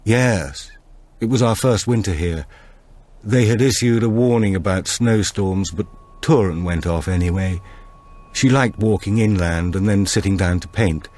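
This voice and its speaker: A man's voice: on the low side, unhurried, darkish tone, pitched above the performer's own voice. A man reading an audiobook, voicing a brusque, difficult older woman who talks in a curt, dismissive way. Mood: matter-of-fact.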